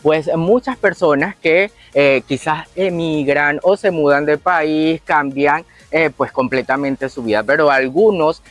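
A man presenting a television magazine show as co-host, with a halting delivery. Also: fairly high pitch, dry recording, soft background music.

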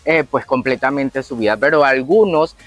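A man's voice: mid-range voice, normal speed, no room sound. A man co-hosting a show, reading a segment and chatting with hesitant fillers when speaking freely. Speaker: show co-host. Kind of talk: reading segment, casual chat.

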